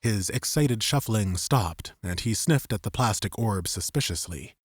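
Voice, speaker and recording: A man narrating scripted fiction as an audiobook, with measured, suspenseful storytelling. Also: on the low side, lively intonation, slightly rough, no room sound, clean studio sound.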